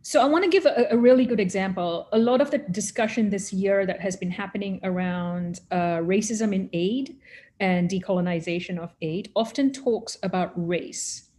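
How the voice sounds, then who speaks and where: A middle-aged woman from Malaysia speaking as a panelist in an online discussion, earnest and emphatic, often halting and repeating words as she reflects. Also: medium pitch, warm tone. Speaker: humanitarian professional, panelist. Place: remote online webinar.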